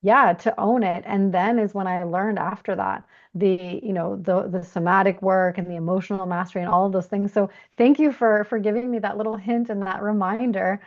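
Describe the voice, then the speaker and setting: A woman from Canada hosting a conversational podcast, reflective and affirming in an expressive way. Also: mid-range voice, a little fast, few pauses, muffled recording. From Canada, moved there from Bulgaria aged three. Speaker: podcast host. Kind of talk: warm conversational podcast interview.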